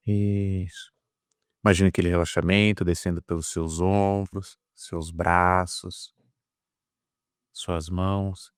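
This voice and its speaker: Low brazilian guy doing guided meditation, soothing repetitive phrases, close dry mic. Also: no echo. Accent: Brazilian Portuguese. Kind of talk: guided meditation, hypnotic induction.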